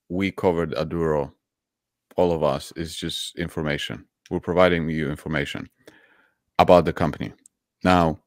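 A man's voice: deep voice, dry recording, studio quality. A man on an investing panel over a video call, speaking emphatically and persuasively, repeating phrases for effect, on a headset. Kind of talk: panel discussion. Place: home room, video call.

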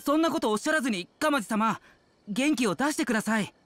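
A man's voice: very high-pitched, lively intonation, fast talker, pushed and bright, heavily acted. A man voicing a loyal young servant in an anime game, earnest, anxious and deferential. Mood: urging.

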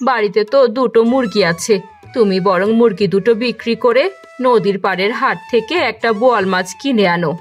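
A woman's voice: fairly high pitch, a little fast, soft background music, close to an everyday voice. A woman playing a caring village wife and mother in a folk-tale cartoon, homely and coaxing.

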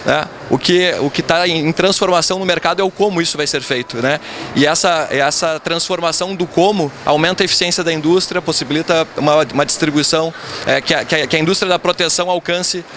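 Executive in his thirties, slightly high voice, measured answers on a faintly noisy event stage. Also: fairly bright tone. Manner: measured, frequent filler tags. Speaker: incoming company president. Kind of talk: event interview. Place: event stage backdrop, indoors. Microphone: handheld mic held by interviewer.

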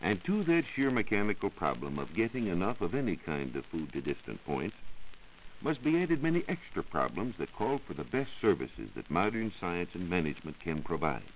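American male science reporter, vintage 1940s radio; deep, expressive, formal scripted lecture, old recording. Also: moderate pace, lo-fi sound, a little hiss or hum.

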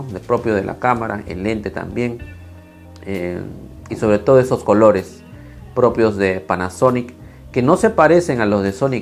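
A man in his thirties, slightly hoarse, talking casually on a lapel mic in a small home studio, with many fillers and restarts. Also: on the low side. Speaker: video creator reviewing camera footage. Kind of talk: casual talk to camera, then screen walkthrough.

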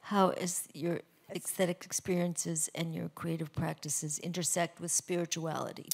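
Female moderator, lowish voice, conversational stage discussion, hesitant and rephrasing. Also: steady tone, normal speed, creaky, a little mellow.

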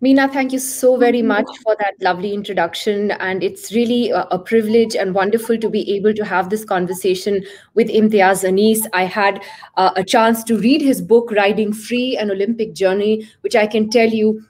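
A woman's voice: medium pitch, fairly even intonation, moderate pace, darkish tone. A woman journalist in her forties interviewing a guest on a video call, fluent and emphatic with small restarts. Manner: fluent, emphatic, repeats words when starting. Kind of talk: warm interview conversation. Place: room at home with curtains behind. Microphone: laptop mic on a video call.

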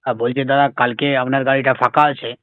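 A man making a casual prank phone call, persistent and deadpan. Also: mid-range voice, quick pace, background noise. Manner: deadpan, persistent, evasive with absurd questions. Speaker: prank caller, comedy show host.

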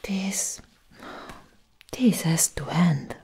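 A woman playing a stern, haughty alien government agent in a breathy, whispery ASMR roleplay, speaking deliberately. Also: fairly low pitch, warm tone, exaggerated voice. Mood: stern, probing. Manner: slow, deliberate, condescending. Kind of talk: soft-spoken ASMR roleplay, half whispered. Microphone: close microphone. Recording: close-miked with audible background hiss.

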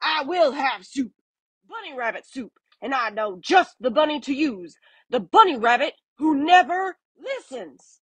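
A woman's voice: high voice, big pitch swings, unhurried, a bit bright, dry recording, voice pushed up, heavily acted. Woman putting on a snarling, bad-tempered scruffy varmint. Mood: furious. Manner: snarling, barking orders. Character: grumpy scruffy male varmint with a nasty temper. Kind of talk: children's storybook read-aloud.

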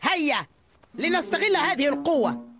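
A woman's voice: sits high, lively intonation, normal speed. A woman voicing a boastful, scheming, theatrical cat in a dubbed cartoon, given to grumpy exclamations. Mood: gloating. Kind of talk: Arabic cartoon dub acting. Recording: cartoon music under the voice.

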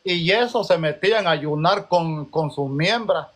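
Emphatic high-voiced man. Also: measured pace, a bit bright. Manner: emphatic, insistent. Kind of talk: testimony in a debate.

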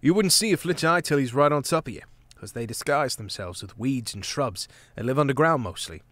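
A man voicing a streetwise male mouse thief with a low, gruff, colloquial manner. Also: expressive, brisk, fairly bright tone, dry recording, close to an everyday voice. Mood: warning.

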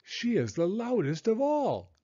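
An older man reading a children's story aloud as a storyteller, with expressive, deliberate phrasing for young listeners. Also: high voice, dull audio. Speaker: storyteller, elementary school teacher.

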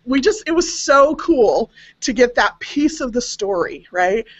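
A woman's voice: on the high side, lively intonation, a little slow. A middle-aged American woman telling a personal family story casually as a panelist on a web video call. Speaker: panelist on a genealogy web show. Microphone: webcam video call. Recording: dull, compressed internet call audio.

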